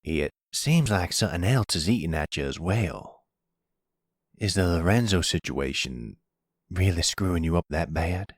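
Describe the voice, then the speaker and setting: Man playing a deep-voiced, slow, fatherly older man. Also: animated, dry recording, studio quality. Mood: concerned, earnest. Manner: measured, fatherly, earnest, soft-spoken. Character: older father, gentle, regretful, reassuring mob boss. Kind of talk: intimate ASMR roleplay acting.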